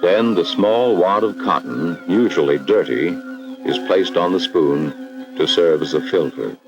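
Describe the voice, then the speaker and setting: A man's voice: low voice, unhurried, dull audio. An American man narrating a 1960s educational film in a grave, ominous, emphatic scripted style, on a vintage film soundtrack. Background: dramatic background music.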